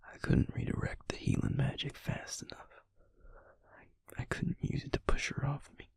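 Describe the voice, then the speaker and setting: A man playing a bitter, wounded vampire recalling his trauma in an intimate audio roleplay, speaking in a halting, breathy whisper. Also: no room sound, close to an everyday voice. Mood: distressed, hushed. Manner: halting, hushed, with heavy pauses. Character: wounded, bitter male vampire, once a healer, recounting trauma.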